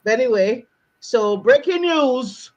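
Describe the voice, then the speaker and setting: A woman's voice: fairly high pitch, lively intonation, takes it slowly, heavy background noise. A woman hosting a live-streamed interview, building up her guest with emphatic showman introductions. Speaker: show host.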